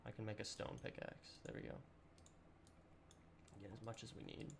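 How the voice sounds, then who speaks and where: A young man with a slightly raspy, sore voice, chatting casually and rambling through a let's-play with lots of ums. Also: on the low side, normal speed, background noise. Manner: relaxed, rambling with many ums and throat clearing. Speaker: gaming channel host.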